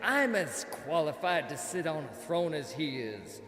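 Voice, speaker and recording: A man's voice: a little high, big pitch swings, unhurried, a bit bright. An actor playing a scheming, resentful villain in an audio drama, pompous and unctuous in his delivery. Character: scheming, resentful villain, flattering courtier turned dark warlord. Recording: echoey hall reverb with background noise.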